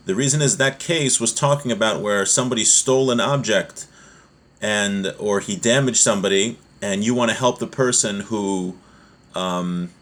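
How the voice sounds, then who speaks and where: A man's voice: lowish voice, normal speed, a bit bright. A man giving an informal religious lesson as a teacher, explaining point by point, repeating himself and posing rhetorical questions. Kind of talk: informal lesson, reading text aloud and explaining it.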